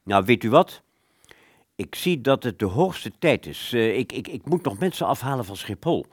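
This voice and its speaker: A man playing a polite, old-fashioned gentleman in a radio play, formal and hesitant, with small stammers. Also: mid-range voice, very animated, moderate pace, husky, no room sound, clean studio sound. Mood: polite, evasive.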